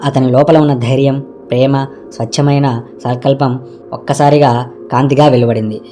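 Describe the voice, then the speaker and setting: A man narrating a scripted moral tale for an animated story. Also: medium pitch, normal speed. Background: background music.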